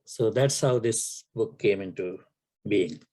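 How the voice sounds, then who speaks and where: An older man from Pakistan, whose first language is Urdu, answering interview questions slowly with many pauses and fillers. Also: fairly low pitch, dark tone. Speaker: author guest.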